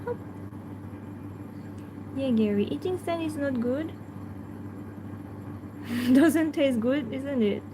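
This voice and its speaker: French-accented woman hosting a pet livestream, slightly high voice, slow and hesitant, background noise and passing cars. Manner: hesitant, frequent fillers, talks softly to her pet. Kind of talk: casual livestream chat answering viewers.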